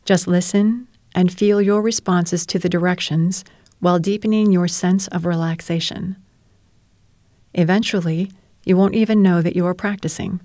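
Calm woman doing guided meditation, lowish warm relaxed voice, soothing n measured. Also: no room sound, clean studio sound. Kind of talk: guided relaxation narration, yoga nidra.

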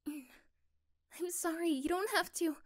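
A woman playing a caring, curious young girlfriend in a soft-spoken, tender ASMR roleplay, breathy at times. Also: very high-pitched, a little slow, pitched above the performer's own voice. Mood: curious, apologetic.

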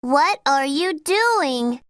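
A woman's voice: high-pitched, animated, extremely slow, fairly bright tone, exaggerated voice. A woman voicing a sweet little girl in scripted dialogue for an English-lesson storybook cartoon. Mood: eager, concerned.

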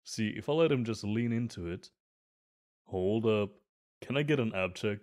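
A man's voice: lowish voice, animated, normal speed, darkish tone, natural voice. A man playing a friendly, eager gym bro in an ASMR roleplay, chatty and earnest as he explains the equipment.

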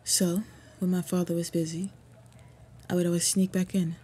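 A woman acts out an earnest half-orc suitor in a medieval fantasy roleplay. She speaks in a very breathy, soft voice with a dark, mellow tone. Her delivery is formal and measured, staying fairly flat in pitch as she pleads with devotion. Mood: earnest, reminiscing. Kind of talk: scripted fantasy roleplay audio.

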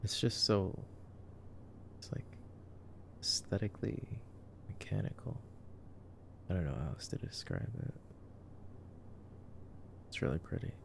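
A man in a casual ASMR roleplay, commenting on a movie in a soft, hushed, intimate murmur. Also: low voice, expressive, unhurried, long pauses. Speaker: ASMR roleplay voice actor as boyfriend. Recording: clearly noisy background ambience.

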